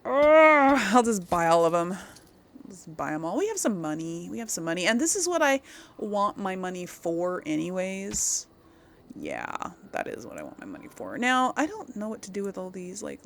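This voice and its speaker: A woman's voice: medium pitch, sing-song, normal speed, creaky. A woman giving casual playthrough commentary as host of a gaming video, chatty and thinking aloud.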